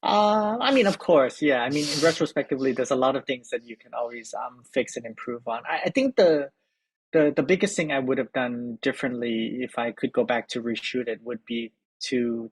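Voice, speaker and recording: A middle-aged man from Thailand, a film editor and director, answering interview questions in a halting way with many repeated words and fillers. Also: medium pitch, breathy edge, no echo, low-quality recording.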